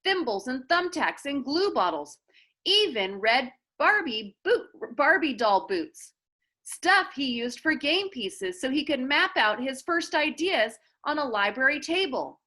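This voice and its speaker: A woman voicing an eager, bookish seventh-grade boy who gossips excitedly in a storybook reading. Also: high voice, measured pace, voice pushed up, full character voice.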